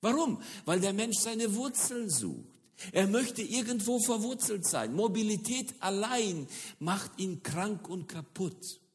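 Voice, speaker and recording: A middle-aged man from the former Soviet Union gives a lively lecture-style sermon on church mission. He repeats words for emphasis and hesitates often. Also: high-pitched, a little slow. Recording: long hall reverb.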